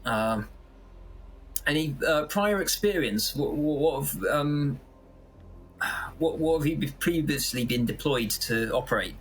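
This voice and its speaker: A man's voice: on the high side, animated, unhurried, long pauses, a little hiss or hum, poor audio. A man playing a polite, flustered team member in a sci-fi audio drama, hesitant and stammering.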